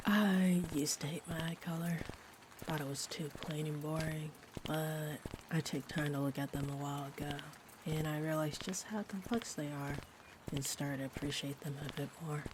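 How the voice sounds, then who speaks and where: A woman playing a sweet, shy female vampire in an intimate roleplay audio, rambling gently and hesitantly. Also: low-pitched, normal speed, close to an everyday voice. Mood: soft, wistful. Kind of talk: roleplay audio, intimate one-sided chat. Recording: steady rain-like ambient noise. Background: rain ambience.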